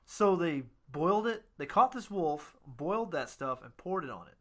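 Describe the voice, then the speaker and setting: Male comedy co-host: animated intonation, bright tone, informal banter, disbelieving and jokey. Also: a little high, normal speed. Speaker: podcast co-host. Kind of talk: casual comic chat. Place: garage.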